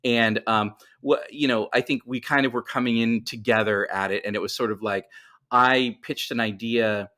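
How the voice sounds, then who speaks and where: A man's voice: medium pitch, steady tone, moderate pace, bright, forceful tone. A man speaking as a writer guest in a casual podcast interview, explaining things and thinking aloud as he corrects himself.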